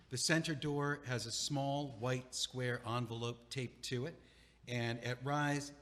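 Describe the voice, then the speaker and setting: A man reading the stage directions of a play aloud in a slow, measured way, recorded in a theater. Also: mid-range voice, long reverb tail. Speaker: playwright narrating stage directions. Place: performing arts theater.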